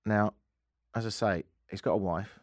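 A man's voice: fairly low pitch, steady tone, moderate pace, a little mellow, no room sound. A man hosting a comedic radio show, rambling through sardonic asides into a studio mic. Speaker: radio host.

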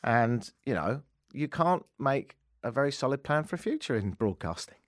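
A man's voice: mid-range voice, big pitch swings, normal speed, no room sound. A man hosting a comedic radio show, rambling through sardonic asides into a studio mic. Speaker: radio host.

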